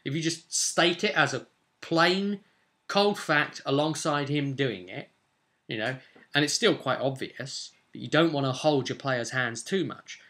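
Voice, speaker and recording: A man's voice: mid-range voice, a little slow, pushed and bright. A British man in his twenties casually explaining as a game master on a vlog, rambling along with emphatic bursts. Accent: British English. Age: 20s. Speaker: game master, video host. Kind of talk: casual explanatory vlog about tabletop role-play. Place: small home room, indoors.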